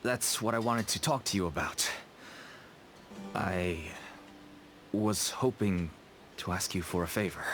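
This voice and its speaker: A man's voice: low voice, a little slow, pauses often, a bit bright, slight background noise. An actor playing a nervous, ingratiating merchant father with a shady trade in a video game. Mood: earnest, weary. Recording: game music behind the voice.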